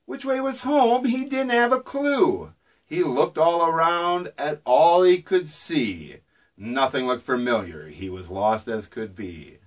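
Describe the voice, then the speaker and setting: A man's voice: on the high side, sing-song, slow pace, recorded from a distance. A man reading his own rhyming children's book aloud in a sing-song storybook manner.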